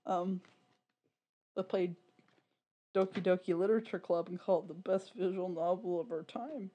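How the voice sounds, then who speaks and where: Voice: mid-range voice, steady tone, moderate pace, warm tone, muffled recording. A podcast co-host in loose, casual conversation. The delivery rambles and leans hard on words for emphasis, with swearing dropped in freely and opinions stated bluntly. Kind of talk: casual, opinionated podcast chat about niche fan media.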